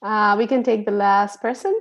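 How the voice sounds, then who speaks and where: A woman's voice: medium pitch, steady tone, moderate pace, gravelly. Friendly Bangladeshi woman facilitator on a video call, casual and chatty. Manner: friendly, chatty, laughs often. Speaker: online program facilitator.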